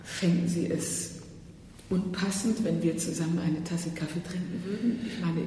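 Timid deceived woman, played by an actress: tentative, courteous, dark soft-edged tone, distant in long reverb, radio play. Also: steady tone, normal speed, lightly acted. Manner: hesitant, polite, tentative. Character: timid, polite, deceived woman seeking companionship. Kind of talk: German radio crime drama acting. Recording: very echoey hall, distant mic, faint rumble.

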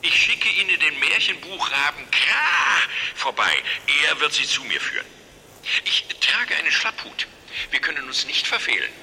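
A very old fairy-tale collector for a children's radio play. The voice is bright and forceful, leaping up and down in pitch. He is anxious, fussy and theatrical, quick to plead. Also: very animated, creaky, slightly rough, exaggerated voice, poor audio. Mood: eager, explaining.

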